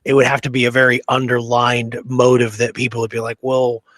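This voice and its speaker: Male co-host: medium pitch, colloquial and rambling commentary, dry studio-like sound. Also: completely flat delivery, brisk, fairly bright tone, no room sound. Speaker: podcast co-host. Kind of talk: casual chat, reacting and commenting.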